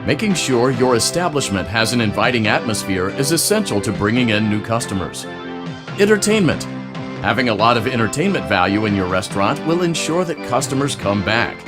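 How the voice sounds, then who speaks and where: Guy doing peppy corporate promo video announcer, super animated, smooth sales pitch, music behind him. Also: brisk, close to an everyday voice. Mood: upbeat, salesy. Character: upbeat, slick male franchise promo-video announcer. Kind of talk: parody corporate training and sales narration.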